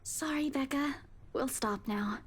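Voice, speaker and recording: A woman voicing a timid, anxious young woman in a visual novel game, hesitant, soft-spoken and apologetic. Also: sits high, a little slow. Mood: grateful, apologetic. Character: timid, anxious, grateful young woman, easily frightened friend.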